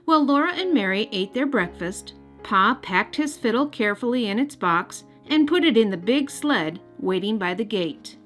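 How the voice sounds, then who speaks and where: A woman's voice: fairly high pitch, lively intonation, normal speed, hardly any pauses, a bit bright. A woman hosting and narrating a children's read-aloud, speaking expressively and directly to young listeners. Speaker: host and storybook reader. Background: music.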